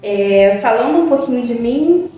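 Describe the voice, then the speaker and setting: A woman's voice: a little high, animated, unhurried, dull audio. Earnest woman psychologist in an echoey room. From Brazil. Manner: earnest, reflective, many "é" fillers. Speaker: psychologist guest. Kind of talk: informal spoken talk to a web TV channel. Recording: echoey room, distant mic, faint music.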